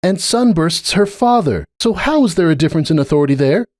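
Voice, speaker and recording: Man voicing an insistent, exasperated cartoon mare, pitched high. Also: expressive, brisk, darkish tone, no room sound, clean studio sound, natural voice. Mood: indignant. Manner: insistent, argumentative, emphatic. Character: earnest, exasperated female cartoon pony pleading her case.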